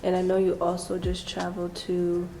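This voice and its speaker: Flat-toned woman interviewer. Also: on the low side, fairly quick, gravelly, roomy sound, poor audio. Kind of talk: interview questions.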